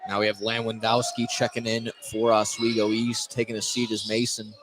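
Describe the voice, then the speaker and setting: A man doing live radio play-by-play of a basketball game, in an excitable way that rises for big plays. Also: fairly low pitch, brisk. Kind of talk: live radio sports commentary. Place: indoor high school gymnasium, courtside. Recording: noisy live gym sound. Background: loud gym ambience.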